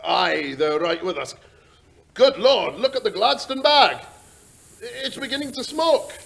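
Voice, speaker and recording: A man playing an excitable, exclamatory, stammering policeman in a 1940s radio drama style. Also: high voice, a little slow, gravelly, heavily acted. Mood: alarmed. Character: Hogarth, excitable, startled male policeman.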